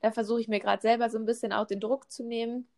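A woman's voice: on the high side, little pitch movement, quick pace, darkish tone. A pregnant woman in her twenties in a casual chat, talking with lots of fillers and restarts. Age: 20s. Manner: chatty, many fillers and restarts.

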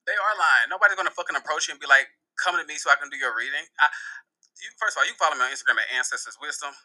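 A man's voice: fairly high pitch, rapid-fire, pushed and bright, rough recording. A Haitian-American man, a spiritual practitioner, chatting casually and animatedly in an interview, swearing freely. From United States.

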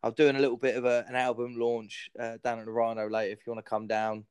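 A man's voice: mid-range voice, completely flat delivery, fast talker, clear, resonant tone, dry recording, clean studio sound. A man hosting a podcast, chatting in an easygoing way, telling anecdotes and laughing along. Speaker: podcast host. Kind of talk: casual chat.

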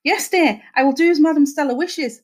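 Woman doing a high, whimpering widowed husband. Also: fairly quick, darkish tone, natural voice. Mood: meek, whimpering. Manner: whimpering, pleading. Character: meek, anguished widowed husband, devoted and obedient.

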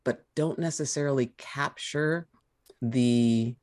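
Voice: mid-range voice, slow pace, darkish tone. A speaker from California who trained in law, talking in a reflective, storytelling way. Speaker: lawyer, podcast guest. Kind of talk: casual conversation.

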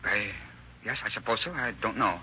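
An actor playing a nervous, evasive Swiss suspect in an old radio crime drama, halting and defensive in stiff, careful phrasing. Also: male voice, fairly low pitch, bright, forceful tone. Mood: guarded, evasive. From Switzerland. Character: nervous, evasive Swiss murder suspect, cracking under pressure. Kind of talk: 1930s-1940s radio crime drama acting. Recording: dull, noisy old radio recording.

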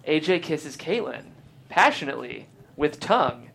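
A woman's voice: low voice, big pitch swings, moderate pace, creaky, a bit bright, slightly rough. A woman hosting a podcast and reading scripted stage directions as narrator. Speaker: host and stage-direction reader.

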